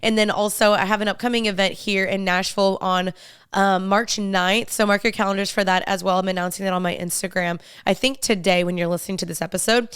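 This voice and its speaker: A young woman chats through her podcast in a friendly, upbeat way, speaking right to her listeners. A lingering cold leaves her slightly nasal and congested. Her voice stays very smooth and clear, recorded close and dry with no room sound. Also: medium pitch, steady tone, brisk, a bit bright, no room sound. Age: young adult. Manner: chatty and upbeat, addresses listeners as "you guys". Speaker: podcast host. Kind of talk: casual solo podcast chat.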